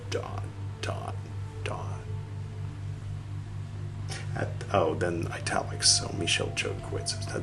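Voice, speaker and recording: A man hosting a podcast, reading a text aloud and speaking its punctuation, with quiet wry asides between passages. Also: lowish voice. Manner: reads slowly, speaking the punctuation aloud and trailing into whispered asides.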